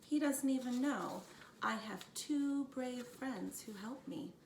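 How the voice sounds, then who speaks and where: A wise, gentle enchantress read by a woman from a children's storybook. She speaks in a composed, measured way with a touch of fry, in a roomy recording. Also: a little high. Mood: mildly annoyed. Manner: soft-spoken, measured. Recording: roomy, distant mic, faint noise.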